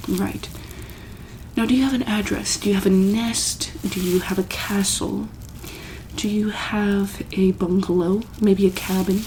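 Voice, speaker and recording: A soft-spoken woman in an ASMR medical roleplay, playing an examiner who asks gentle questions, repeats them, and sometimes drops into a breathy whisper. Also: mid-range voice, fairly even intonation, a little slow, slight background noise, rough recording.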